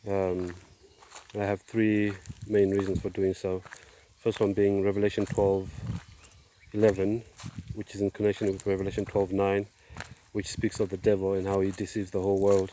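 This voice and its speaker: A middle-aged man giving a personal testimony outdoors, speaking in a halting, measured way with pauses. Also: low-pitched, monotone, warm tone, slight background noise. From Zimbabwe, born in Zambia. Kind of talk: personal testimony, casual monologue. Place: outdoors, on a walk.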